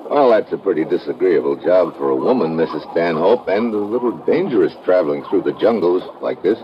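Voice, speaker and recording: A man's voice: low-pitched, animated, brisk. An actor playing a tough, wry adventurer who narrates his own story in a laconic old-time radio drama style. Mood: polite, guarded. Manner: laconic, clipped, hardboiled. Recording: dull, noisy old radio recording.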